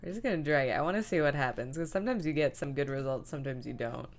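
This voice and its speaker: A woman hosting a livestream, casually talking through her computer work and half muttering to herself, with soft music behind her. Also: low-pitched, lively intonation, fast. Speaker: livestream host. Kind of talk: casual livestream chat narrating image editing. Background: lo-fi music, computer keyboard typing.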